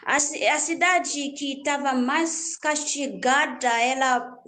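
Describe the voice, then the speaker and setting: A young Haitian woman with a Haitian accent, her first language Creole, telling her life story as a church worship minister, halting and repeating phrases as she searches for words. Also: high-pitched, sharp tone.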